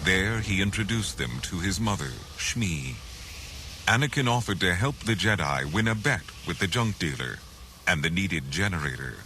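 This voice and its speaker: A man reading a scripted children's adventure story as narrator, in a dramatic, measured way. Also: deep voice, sharp tone, a little hiss or hum.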